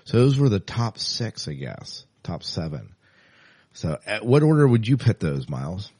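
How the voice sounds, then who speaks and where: A man's voice: on the low side, very animated, normal speed, a little mellow, husky, no echo, clean studio sound. A man hosting a podcast, chatting casually about news items and steering the conversation. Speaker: podcast host.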